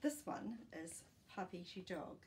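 A woman, reading a children's book aloud in a deliberate, explanatory way with asides. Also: lowish voice, lively intonation, darkish tone, poor audio. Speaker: storytime presenter reading to children. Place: small living room, indoors. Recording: faint room noise.

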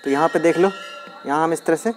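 A man's voice: on the high side, quick pace, faint music behind. A man chatting casually as he shows a hands-on experiment step by step, recorded outdoors on a phone held over his hands. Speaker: DIY experiment vlogger. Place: outdoors on a concrete floor, at dusk.

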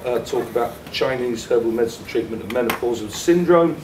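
A man's voice: medium pitch, fairly quick. Distant, echoey male session chair. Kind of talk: introducing a speaker. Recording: distant echoey room mic with noise.

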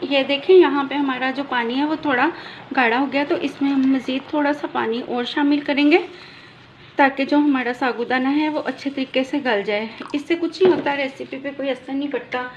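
A woman's voice: high voice, highs cut off, a little hiss or hum. A woman hosting a cooking channel, patiently explaining each step of a recipe as she works in her home kitchen on a handheld phone.

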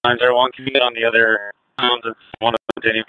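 A man's voice: mid-range voice, little pitch movement, fast talker, narrow, tinny audio. A man working as a state patrol officer, giving terse, clipped reports over a police radio. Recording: noisy police scanner radio audio.

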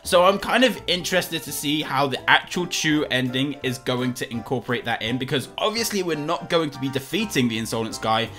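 A man in his thirties giving excited gaming reaction commentary and reading captions aloud at his desk. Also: medium pitch, fairly quick, few pauses. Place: small indoor room with streaming setup. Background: music.

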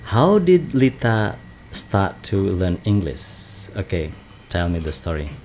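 A man's voice: sits low, very animated, slow pace, darkish tone, slight background noise. A middle-aged man with an Indonesian accent hosting a casual podcast chat with his daughter, laughing often and restarting phrases. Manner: chatty, laughs often, many fillers and restarts. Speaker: podcast host. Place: small home studio lined with bookshelves. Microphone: studio mic on boom arm, wearing headphones.

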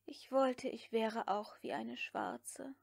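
A woman voicing a frail, meek young woman in labour in an audiobook, speaking weakly, breathily and haltingly. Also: a little high, steady tone, creaky, exaggerated voice. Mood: pained, faint.

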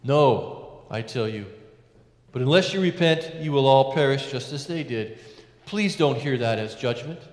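A middle-aged man, a priest, preaching a sermon from a lectern in a large church, measured with emphatic rises and hushed endings. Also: mid-range voice, animated. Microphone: lectern mic, far camera. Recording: echoey church with long reverb.

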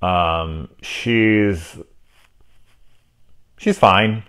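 Deep voiced guy in his 30s ranting about a horror movie, swears a lot, lots of ums, talking to his camera at home. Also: very slow, fairly bright tone. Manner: candid and profane, with frequent fillers and restarts. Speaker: horror film reviewer. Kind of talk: casual spoken film review. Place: small indoor room lined with movie shelves.

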